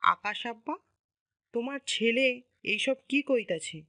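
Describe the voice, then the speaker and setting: A woman's voice: fairly high pitch, animated, takes it slowly, pushed and bright, no echo, heavily acted. A woman playing a distressed, stern middle-aged mother with a rural Bangladeshi accent in a dramatised audio story. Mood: bewildered, upset. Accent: rural Bangladeshi dialect. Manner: plaintive, reproachful. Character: distressed, stern mother defending her daughter-in-law.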